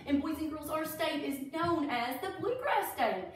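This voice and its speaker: A woman's voice: high voice, normal speed. A woman reading a story aloud for children, speaking expressively and adding explanatory asides to her young listeners. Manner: animated, expressive, addressing children. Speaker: children's story-time reader, educator. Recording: echoey room, distant mic.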